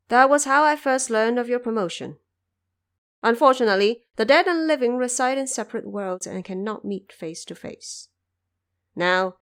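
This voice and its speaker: A woman's voice: medium pitch, natural voice. A woman voicing a humble male court minister's letter in an audio drama, with formal, reverent and earnest phrasing.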